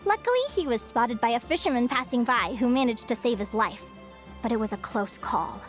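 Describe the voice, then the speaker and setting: A woman's voice: on the high side, animated, a little fast, soft background music, close to an everyday voice. An actress playing a cheerful young adventuring advisor in a video game, perky and instructive as she quizzes listeners. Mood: playful warning. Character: Linnea, cheerful young female adventuring advisor teaching a crowd.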